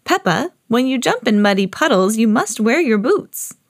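A woman voicing a gentle, patient, motherly pig in a children's storybook. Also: on the high side, big pitch swings, normal speed, pitched above the performer's own voice. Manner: patient, instructing.

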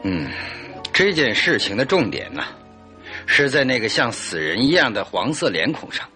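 An actor playing a shrewd, authoritative detective in a radio drama, deliberate and probing. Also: male voice, medium pitch, very animated, sharp tone, soft background music.

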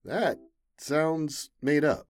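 A woman's voice: low-pitched, very slow, a little mellow. A woman playing a touchy, vain struggling actor in a sitcom audio drama, indignant and dramatic. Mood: irritated.

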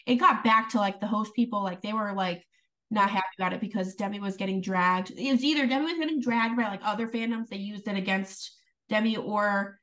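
A woman's voice: mid-range voice, brisk, a bit bright, recorded from a distance. A young adult woman co-hosting a podcast in casual chat, giggly and full of "like"s. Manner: giggly, filler-heavy, with many "like"s. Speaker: podcast co-host.

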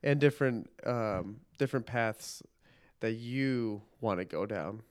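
A man in his late twenties from Illinois talks as a podcast guest. He often hesitates and trails off mid-sentence. His voice sits fairly low, recorded close and dry. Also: clear, resonant tone, no echo. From Illinois, USA. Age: 20s. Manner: hesitant, halting, mild-mannered. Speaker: police officer, podcast guest. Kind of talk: casual chat.

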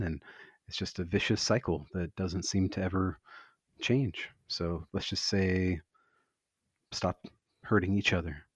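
A man's voice: deep voice, darkish tone, no room sound, muffled recording. A man co-hosting a podcast in casual talk, with a laconic, dry, wry manner. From United States. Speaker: podcast co-host. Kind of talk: casual conversational discussion.

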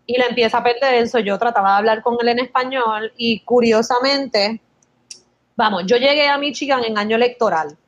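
A woman's voice: a little high, a little fast, highs cut off. A woman in her thirties with a Puerto Rican accent, telling stories in casual chat and mixing English words into her speech. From Puerto Rico. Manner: lively storytelling, mixing English words into Spanish. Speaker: podcast guest sharing her experience.

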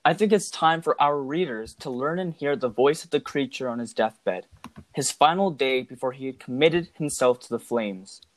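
A man's voice: mid-range voice, animated, moderate pace. A man co-hosting a podcast with formal, scripted narration. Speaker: podcast co-host.

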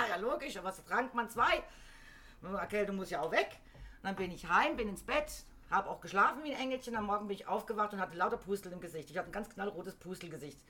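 A woman's voice: medium pitch, lively intonation, fairly quick, pushed and bright, slight background noise. A woman in casual chat, telling jokey stories and laughing often.

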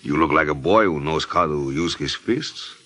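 Very deep, smooth radio gangster. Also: male voice, heavily acted. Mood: sly, menacing. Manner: sly, unhurried, softly threatening. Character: smooth, menacing male gangster client with money. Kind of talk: 1950s radio detective drama acting.

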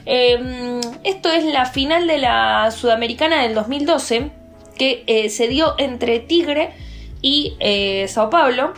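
Chatty higher-voiced Argentine woman. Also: slow pace, soft background music. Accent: Argentine Spanish. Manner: chatty, lots of hesitation fillers. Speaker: podcast co-host. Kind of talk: casual chat.

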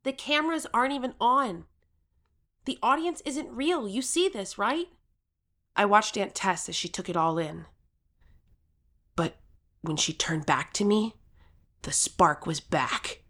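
A woman's voice: medium pitch, a little slow, pauses often, fairly bright tone. A woman playing an earnest, determined thirteen-year-old girl who tells her own story with urgency in an audio drama. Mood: alarmed, pleading. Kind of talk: first-person audio drama narration and acting.

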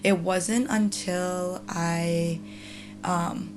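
A woman's voice: fairly low pitch, fairly even intonation, drawn-out words, over music. A young woman in her twenties, a student athlete whose first language is Mandarin, answering interview questions with many hesitant ums. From China. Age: 20s.

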